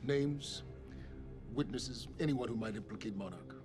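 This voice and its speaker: An actor playing a middle-aged corporate enforcer, calm and menacing, with measured, controlled delivery. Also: male voice, mid-range voice, close to an everyday voice. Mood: cold menace. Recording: music behind the dialogue.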